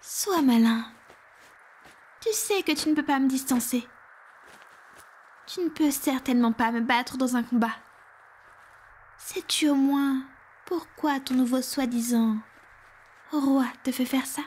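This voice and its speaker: A woman playing a powerful, protective female vampire in an ASMR roleplay, speaking in a soft, breathy, formal and self-assured way. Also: high-pitched, slow pace, pauses often, a little hiss or hum. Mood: cold, commanding. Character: powerful protective female vampire, commanding yet tender lover.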